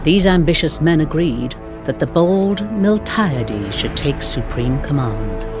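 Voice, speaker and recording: Male narrator, fairly high pitch, scripted historical storytelling, measured and wry delivery, musical backing. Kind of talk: scripted dramatic historical narration for game cutscenes. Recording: music under the voice. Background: background music.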